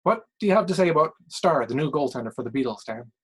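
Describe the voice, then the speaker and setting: Hangdog sports anchor, read by a male narrator: measured straight man, dry wit, broadcast booth patter. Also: on the high side, animated, fairly bright tone, slightly rough. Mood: formal, setting up. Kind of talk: acted broadcast booth introduction in a story reading.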